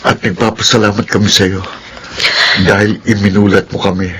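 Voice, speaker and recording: Bright, earnest younger brother played by a man. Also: lowish voice, level tone, brisk, slight background noise, lightly acted. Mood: grateful, earnest. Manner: contrite, sincere. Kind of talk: radio drama acting.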